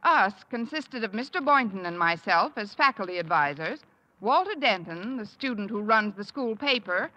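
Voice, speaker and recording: A dry, sardonic English teacher. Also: female voice, mid-range voice, lively intonation, normal speed, sharp tone, rough recording. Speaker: narrator and lead. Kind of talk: 1950s radio sitcom acting.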